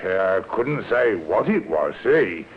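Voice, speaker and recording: An actor playing a flustered male official in an old radio crime drama, halting and repeating himself. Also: sits low, big pitch swings. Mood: hesitant. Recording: dull, noisy old radio drama recording.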